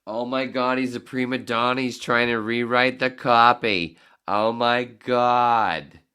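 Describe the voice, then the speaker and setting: A man's voice: mid-range voice, steady tone, slow, sharp tone, clear, resonant tone, voice pushed up. A man voicing a teasing, sing-song male heckler who mocks him in exaggerated tones. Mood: mocking.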